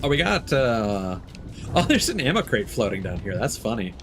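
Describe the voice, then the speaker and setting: Lively male streamer chatting over music. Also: on the low side, moderate pace. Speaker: live streamer. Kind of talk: casual chat with gameplay commentary.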